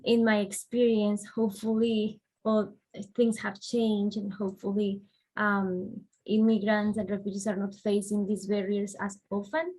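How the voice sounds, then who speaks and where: Woman, Colombian accent, interview guest, thoughtful, unhurried explaining, soft "uh" fillers. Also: mid-range voice, level tone, low-quality recording. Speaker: guest, director of refugee and immigrant services. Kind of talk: conversational interview answers.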